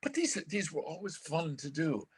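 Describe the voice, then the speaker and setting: An older American man with a husky voice, reminiscing casually as a veteran broadcaster in a halting, rambling, animated way. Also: on the high side, no room sound. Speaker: veteran radio broadcaster, guest. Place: remote video call.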